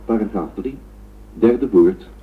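Slow dictating man, tinny tape. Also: lowish voice, slightly rough, full character voice. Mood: businesslike. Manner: flat, deliberate dictation in fragments. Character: man dictating text corrections on a played-back tape. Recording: thin, noisy tape playback.

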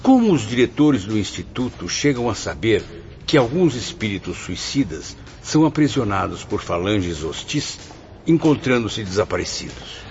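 A courteous, curious spirit newcomer acted by a man questions his teachers in a radio drama. His voice sits fairly low with very mobile pitch, over a dull recording with faint noise. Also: very animated, moderate pace, darkish tone. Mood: curious, polite. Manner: courteous, inquisitive, formal. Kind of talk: radio soap opera acting.

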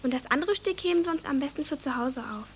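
A very high, childlike voice playing a bossy little village girl in a radio play. She is earnest and eager, forever instructing, with a little noise behind her. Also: expressive, fast. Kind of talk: German radio drama acting.

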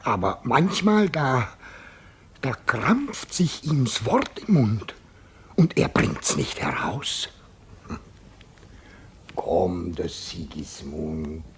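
An actor in a German radio play voicing a simple, good-hearted jailer, coaxing and fussy, with colloquial talk that moves between hushed murmurs and exclamations. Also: male voice, lowish voice, sing-song, extremely slow, long pauses, a little hiss or hum, full character voice. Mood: coaxing, confiding.

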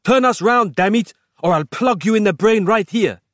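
A man's voice: high voice, moderate pace, fairly bright tone, dry recording, clean studio sound, voice pushed up. A man voicing a hot-tempered, impatient kidnapper with a gun in a thriller audiobook, barking and snapping his words. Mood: barking, threatening.

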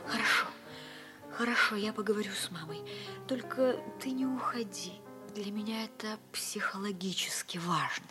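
A woman's voice: mid-range voice, moderate pace, natural voice. An actress playing an anxious, caring teenage schoolgirl, urgent and tender, in a mid-century radio drama. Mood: hushed, anxious. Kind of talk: mid-century Soviet stage acting for radio. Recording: old radio play, loud music behind.

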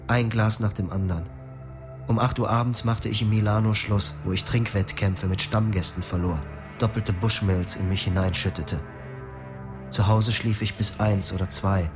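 Radio drama lead: male actor as troubled young husband, guilt-ridden, confessional, lower register. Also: lightly acted. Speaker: actor, first-person narrator. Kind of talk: literary radio drama narration and dialogue.

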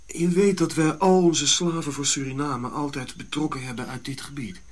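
An actor playing a brusque, scheming Dutch colonial general with a blunt, commanding manner in a radio drama. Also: male voice, fairly high pitch, fairly even intonation, moderate pace, natural voice. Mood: scheming, pragmatic.

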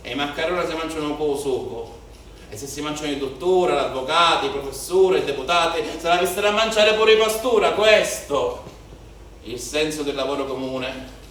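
A man's voice: a little high, animated, moderate pace, a bit bright. An actor voicing a proud rustic shepherd father, emphatic and folksy, reeling off proverbs in a stage monologue. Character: proud rustic shepherd father preaching dignity of common work. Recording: very echoey theatre, distant mic, faint noise.

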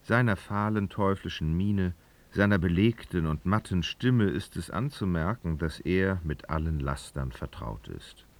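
A man's voice: sits low, lively intonation, measured pace. A man narrating a literary radio drama, reading expressively and dramatically.

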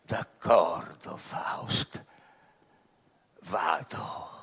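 A low obedient devil in a radio drama, super hoarse and breathy, grave. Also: male voice, extremely slow, a bit bright, full character voice. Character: grave, submissive demon servant of Lucifer.

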